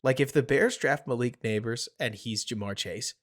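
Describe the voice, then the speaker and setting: A man hosting a casual sports podcast, talking excitedly and building his case with rhetorical questions. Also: medium pitch, normal speed. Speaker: podcast host. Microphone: home podcast mic.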